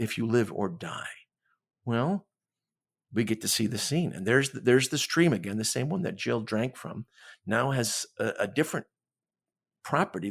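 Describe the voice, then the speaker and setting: A man with a fairly low voice talks about books as an interview guest. He is conversational and emphatic, punctuating his points with little questions. He slips into imagined dialogue to explain what he means. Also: vocal fry, husky. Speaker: guest author on a podcast. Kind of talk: animated literary discussion in conversation.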